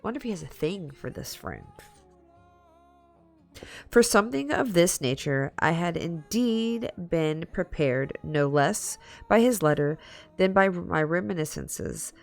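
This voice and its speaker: Woman streamer reading out loud kinda slow and halting, gravelly fry in her voice, faint music behind. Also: fairly low pitch, expressive. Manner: halting, stumbling over long words. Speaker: livestream host. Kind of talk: dramatic story reading with casual chat asides.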